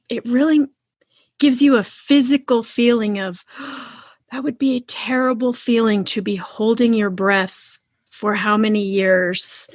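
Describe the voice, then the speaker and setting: A woman podcast host talking conversationally about books in an earnest, expressive manner. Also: medium pitch, no room sound. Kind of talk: conversational book discussion.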